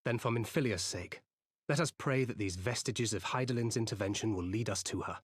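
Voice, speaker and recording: An actor playing a solemn, protective adventurer haunted by regret in a fantasy game, speaking in an earnest, measured way. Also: male voice, on the low side, breathy edge, no room sound, studio quality. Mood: gentle, resolved. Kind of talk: fantasy video game cutscene acting.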